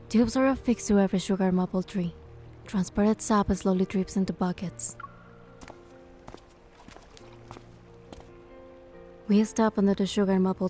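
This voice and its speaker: An actress playing a curious young woman who narrates her date in a game, gentle, measured and playful. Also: medium pitch, natural voice. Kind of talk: scripted first-person game narration and dialogue.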